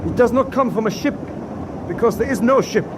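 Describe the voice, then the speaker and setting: War radio drama: sharp-minded German submarine officer, high voice, crisp reports, ship noise in background. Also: male voice, brisk. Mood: earnest. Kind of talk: full-cast war radio drama acting. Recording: sea and engine noise behind.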